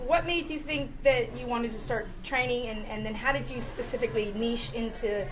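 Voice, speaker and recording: A woman's voice: on the high side, fairly even intonation, moderate pace, low-quality recording. A woman co-hosting a weekend radio show, bubbly and laughing often as she chats. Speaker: co-host. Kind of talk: casual radio chat.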